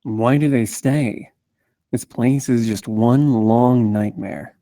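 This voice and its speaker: A man's voice: mid-range voice, takes it slowly, mellow, no room sound, studio quality. A man playing a troubled drug user on the run from his nightmares, in a screenplay table read. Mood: weary, questioning. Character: troubled, drug-seeking male Rabbit fleeing a nightmare.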